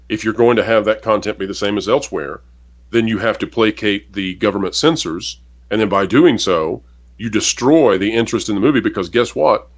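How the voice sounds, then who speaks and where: A man co-hosting a casual commentary show, reading out an article and giving emphatic opinions to viewers. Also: on the low side. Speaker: podcast co-host.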